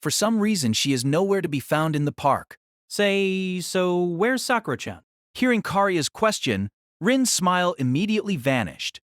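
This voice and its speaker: A man narrating a novel in a solemn, theatrical style with deliberate phrasing. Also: on the high side, sing-song, a bit bright, dry recording, studio quality. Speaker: audiobook narrator. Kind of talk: dramatic scripted novel narration.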